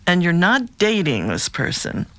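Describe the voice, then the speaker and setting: An actress playing a calm, probing therapist with quiet humor, measured and professional, in an audio drama. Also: female voice, lowish voice, dry recording, full character voice. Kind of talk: naturalistic audio drama dialogue.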